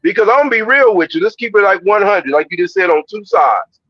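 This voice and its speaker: Dull-sounding, fairly high-voiced American man. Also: lively intonation, fast, breathy edge, no room sound. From United States. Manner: informal, slangy, opinionated. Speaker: livestream co-host. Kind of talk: casual conversational commentary.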